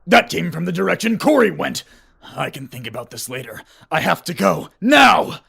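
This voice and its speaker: A man playing an earnest, anxious young hero in an animated fantasy war story, speaking in an emphatic, halting way. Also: on the high side, creaky, a little hiss or hum, full character voice. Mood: urgent. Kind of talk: animated fantasy character acting.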